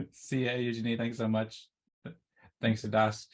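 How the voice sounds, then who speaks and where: A man's voice: mid-range voice, measured pace. A man hosting a video call meeting, thanking people and joking in a friendly way. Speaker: meeting host. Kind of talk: casual video call chat.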